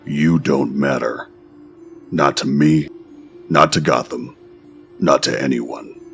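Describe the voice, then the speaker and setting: A man's voice: a bass voice, slow, creaky, faint music behind, heavily acted. A man voicing a cold, grim masked male vigilante in a fan-dubbed motion comic, speaking in terse, clipped lines. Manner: terse, clipped, flat menace.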